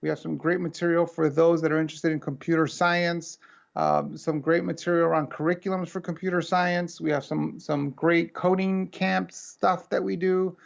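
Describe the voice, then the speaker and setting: An American man speaks over a video call, his voice strongly gravelly yet pitched a little high. The call audio is muffled and lo-fi. He talks to the audience in an earnest, conversational way. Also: little pitch movement, normal speed. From United States. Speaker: keynote speaker. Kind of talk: remote keynote talk.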